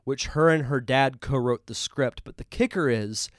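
A man's voice: medium pitch, normal speed, dry recording, clean studio sound. A man with a congested voice hosting a live podcast, chatting casually and enthusiastically as he reviews a film. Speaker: podcast host.

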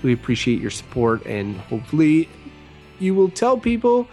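A man with a fairly high voice co-hosts a podcast, chatting loosely and rambling through lots of ums and uhs. Faint music plays in the background. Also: very animated, normal speed, warm tone. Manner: chatty and rambling, with many fillers. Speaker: podcast co-host. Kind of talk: casual podcast banter.

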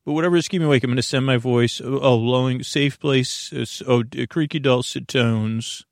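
A man with a creaky voice hosting a bedtime podcast, rambling in a lulling, halting way and often interrupting himself as he drifts into tangents. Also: mid-range voice, no echo, studio quality. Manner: meandering, halting, digressive, self-interrupting; soothing, lulling. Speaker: podcast host.

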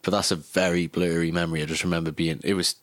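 A man's voice: low-pitched, level tone, fast, no echo, studio quality. A young man, chatting casually and informally as an interview guest, recalling his life with some swearing. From Cardiff, Wales, born in Greece. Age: young adult. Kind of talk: casual chat, personal recollection.

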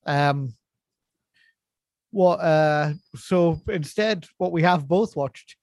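A man's voice: a little high, extremely slow. A man in his forties co-hosting a casual chat, rambling and teasing with frequent chuckles. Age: 40s. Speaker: podcast co-host.

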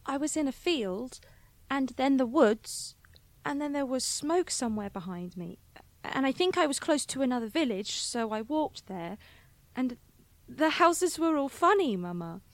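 A woman plays an innocent, dreamy little village girl in an audio drama. The voice is high and childlike, curious and questioning, in a very dry recording. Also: expressive, measured pace, no room sound. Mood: puzzled, eager.

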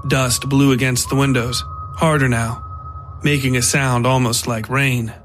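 A man reading scripted dark fiction as the narrator of a story podcast. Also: mid-range voice, little pitch movement, a little slow, faint music behind. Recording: faint noise behind the voice.

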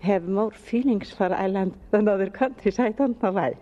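A woman's voice: medium pitch, moderate pace, mellow. A woman from Iceland with an Icelandic accent, a conference attendee who speaks English hesitantly while searching for words. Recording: dull recording with faint background ambience.

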